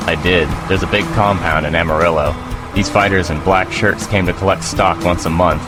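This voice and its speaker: An actor playing a bitter, ornery, world-weary refugee fighter in an audio drama. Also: male voice, deep voice, fairly even intonation, moderate pace, lightly acted. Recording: loud music under the voice.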